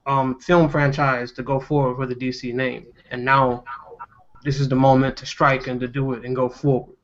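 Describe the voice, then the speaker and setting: A man's voice: medium pitch, flat delivery, moderate pace, a bit bright. A man hosting a casual panel discussion, giving his opinions in a conversational way. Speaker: discussion host. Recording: dull lo-fi podcast audio.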